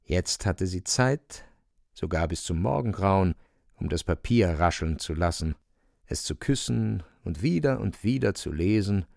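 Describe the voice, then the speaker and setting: A man narrates a literary radio play in a low voice with plenty of creak. The sound is dry and close, with hardly any room. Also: lively intonation, measured pace, darkish tone, no echo.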